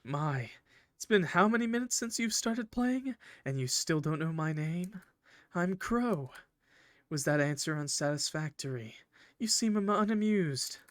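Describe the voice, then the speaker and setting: A man voicing a possessive, coldly polite villain from a visual novel, speaking formally and theatrically in measured, condescending phrases. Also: fairly high pitch, expressive, dry recording. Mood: smug, condescending. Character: possessive, coldly polite male villain, eerie train conductor. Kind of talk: visual novel character reading.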